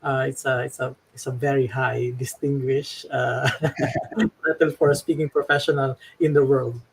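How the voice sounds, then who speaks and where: A man's voice: medium pitch, flat delivery, moderate pace, creaky, husky, rough recording. A man hosting an interview, asking his questions hesitantly with many fillers and restarts. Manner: hesitant, full of fillers and repeats. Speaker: host.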